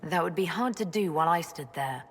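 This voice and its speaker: A woman voicing a calm, resolute leader in a video game, direct and matter-of-fact. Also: on the low side, fairly quick, breathy edge.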